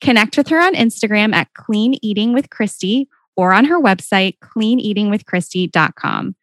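A woman's voice: mid-range voice, animated, moderate pace, dry recording. Bubbly woman podcast host, dry close sound. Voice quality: soothing. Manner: bubbly, enthusiastic. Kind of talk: upbeat podcast hosting.

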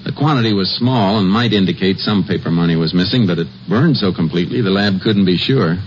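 Male lawman played by an actor, very low and clipped, steady and suspicious, old western radio drama, muffled vintage recording. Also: brisk, a little hiss or hum, close to an everyday voice. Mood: measured. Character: steady, suspicious Texas Ranger investigator on a case. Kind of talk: 1950s western radio drama acting with first-person narration.

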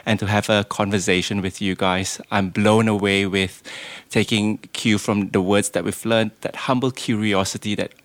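A man's voice: lowish voice, level tone, moderate pace, hardly any pauses, dry recording. A man from Malaysia, a podcast guest, talking in a humble, emotional, self-deprecating way. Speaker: guest, production manager. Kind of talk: casual podcast chat.

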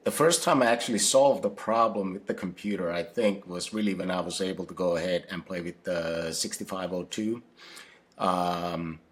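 A man from Finland, answering interview questions in a halting way, full of fillers and restarts. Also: deep voice. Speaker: podcast guest, company founder.

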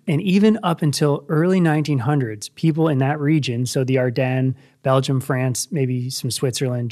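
A man hosting a podcast, telling a long story with many fillers and pauses. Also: medium pitch, darkish tone. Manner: rambling, filler-heavy. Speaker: podcast host. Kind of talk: casual interview.

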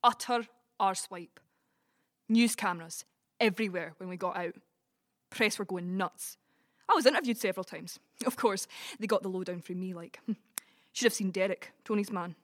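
A woman with a Scottish Dumfries accent acting in an audio play as a brash, gossipy, blunt friend with a soft side. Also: medium pitch, normal speed, natural voice. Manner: chatty, blunt, sweary. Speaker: community cast actor. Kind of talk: community audio drama, colloquial dialogue.

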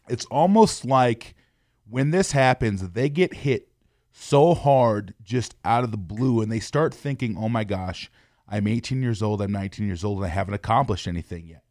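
A man's voice: on the low side, darkish tone, no room sound, clean studio sound. A young adult man, hosting a podcast and talking to listeners in an earnest, encouraging way. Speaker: podcast host. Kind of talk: motivational podcast talk.

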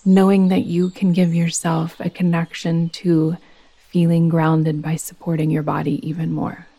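A woman leading a guided meditation as a yoga nidra teacher, speaking in a soothing, gentle, unhurried manner. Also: low voice, little pitch movement, creaky.

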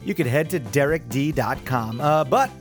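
A man's voice: medium pitch, animated, normal speed, faint music behind. A man hosting a podcast in a casual, upbeat, chatty interview style. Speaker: podcast host.

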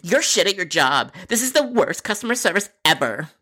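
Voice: medium pitch, very animated, a little fast, bright, forceful tone, slightly rough, voice pushed up, heavily acted. A narrator voicing an angry, entitled female customer who whines and argues indignantly. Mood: outraged. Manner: whiny, combative, indignant.

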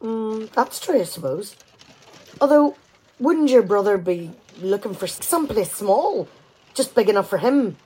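A woman's voice: medium pitch, lively intonation, a little slow, dark tone, breathy edge, rough recording. An amateur actress, a woman, playing a practical, firm wife in a conversational domestic radio play.

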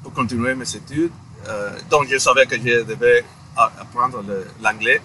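A middle-aged man speaks as an interview guest. His tone is very bright and sharp, and his pitch sits at a middle level. He speaks carefully, stopping often to search for the right word. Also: steady tone, normal speed, a little hiss or hum, poor audio. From Guatemala. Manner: careful, hesitant, searching for words. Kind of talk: conversational interview.

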